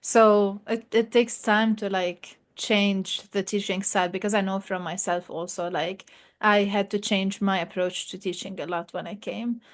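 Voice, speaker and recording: A woman's voice: mid-range voice, steady tone, a little slow. A woman interviewing a fellow graduate student, chatty and full of "like" fillers. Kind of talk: casual interview.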